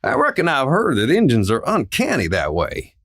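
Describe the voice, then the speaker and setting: A man playing an excitable, folksy Old West sheriff with an in a radio play. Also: mid-range voice, normal speed. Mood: folksy, eager. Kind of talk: western radio play acting.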